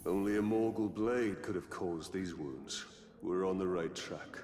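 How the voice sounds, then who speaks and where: A man's voice: sits low, measured pace, darkish tone. A man playing a grim, determined ranger warrior in a video game, speaking in a terse, grave, purposeful way. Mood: grim, resolute. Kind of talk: solemn video game cutscene acting.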